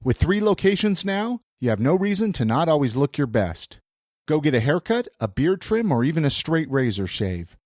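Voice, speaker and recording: Man, American accent, podcast host, animated intonation, congested head-cold sound, casual, dry close sound. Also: fairly high pitch, normal speed, no echo. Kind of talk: casual podcast chat and sponsor reads.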